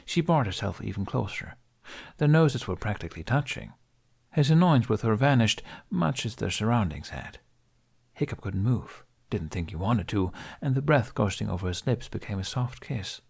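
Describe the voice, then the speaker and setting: A man's voice: lowish voice, lively intonation, normal speed, creaky, breathy edge. A man narrating an audiobook, reading scripted fiction as the storyteller.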